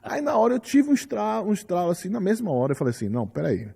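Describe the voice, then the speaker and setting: A man in his forties with a Bahian accent and a mellow, warm voice talks on a podcast. He tells his life story in a lively way and acts out the lines people said to him. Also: high voice, quick pace, creaky, slightly rough. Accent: Bahian Brazilian Portuguese. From Salvador, Bahia, Brazil. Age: 40s. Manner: animated storyteller, acts out quotes. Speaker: musician guest.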